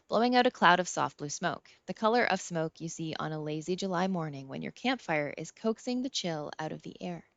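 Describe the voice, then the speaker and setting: Female audiobook narrator, somewhat low register, first-person fiction, slightly dull close recording. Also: a bit bright, dry recording.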